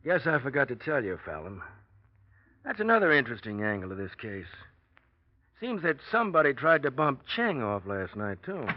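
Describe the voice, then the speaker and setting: A man's voice: medium pitch, very animated, moderate pace. A man playing a cool, probing police inspector in a 1940s radio drama, dry and insinuating with a suspect. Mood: probing, sly. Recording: dull, muffled old radio recording.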